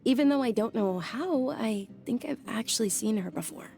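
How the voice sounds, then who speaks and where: A soft-spoken gentle young noblewoman. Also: a little high, expressive, normal speed, creaky, music in the background. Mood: reminiscing. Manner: soft-spoken, courteous, earnest storytelling. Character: gentle young noblewoman, frail since childhood, polite. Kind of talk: video game cutscene voice acting.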